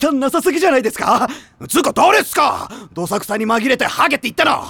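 An actor playing a brash, excitable teenage boy who shouts and gets tearful, in an anime drama CD. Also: extremely high pitch, fast talker, gravelly, slightly rough, dry recording, heavily acted. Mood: indignant. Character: brash loud teenage boy volleyball player.

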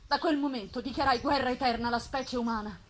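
A woman reading gothic fiction in a measured, expressive, dramatic narration. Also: high-pitched. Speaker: reader. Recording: live festival recording with background noise.

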